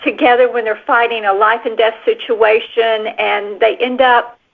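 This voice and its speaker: Female author interviewee, noticeable vocal fry, phone-band sound. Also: a little high, flat delivery, normal speed. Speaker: novelist guest. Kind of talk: interview answers. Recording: phone-like radio-sounding line.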